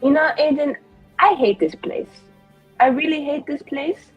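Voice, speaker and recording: A woman's voice: high-pitched, fairly even intonation, unhurried, muffled recording, soft background music, pitched above the performer's own voice. A woman playing a confident, spiteful female elven mage in a tabletop game, brash and foul-mouthed in manner. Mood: defiant.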